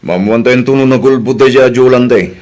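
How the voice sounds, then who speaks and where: A man's voice: mid-range voice, a little fast, darkish tone, slight background noise, close to an everyday voice. A man with an Ilocano accent playing a considerate, trusting husband in a radio drama.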